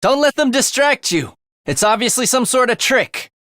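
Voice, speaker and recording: A man's voice: very high-pitched, very animated, normal speed, sharp tone, no room sound, exaggerated voice. A man voicing a sly young assassin in a video game, with a dry, sardonic wit and a theatrical, teasing manner. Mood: urgent, commanding. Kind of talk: video game character voice lines.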